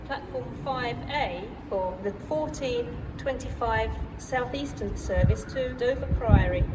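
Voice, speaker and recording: A British woman reads formal, measured train announcements in separate scripted phrases over a railway station's public address system. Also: fairly high pitch. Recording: distant, echoing station PA with clear noise.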